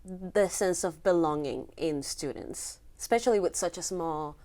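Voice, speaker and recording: A young woman interviewing a student for a campus news show, asking soft, attentive questions. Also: fairly low pitch, a little slow.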